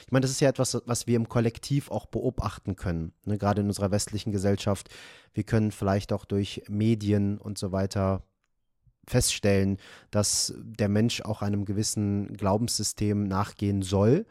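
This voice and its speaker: A man's voice: fairly low pitch, mellow, dry recording, studio quality. A man hosting an interview, asking long, winding questions with a few fillers. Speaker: host.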